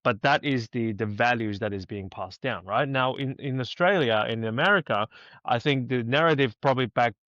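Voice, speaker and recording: A man's voice: medium pitch, normal speed, dry recording. A man from Hong Kong co-hosting a podcast, speaking emphatically and often checking in with "right?". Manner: animated, emphatic, lots of "right?". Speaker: podcast co-host. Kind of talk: casual podcast conversation. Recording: slightly dull audio.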